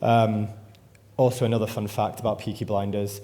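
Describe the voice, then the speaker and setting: A man gives a live conference talk. His voice is mellow and somewhat dark. He rambles, pokes fun at himself and swears casually as he goes. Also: lowish voice, little pitch movement, moderate pace. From England. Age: 30s. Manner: swears freely, rambling and self-deprecating. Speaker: conference speaker. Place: conference stage before an audience.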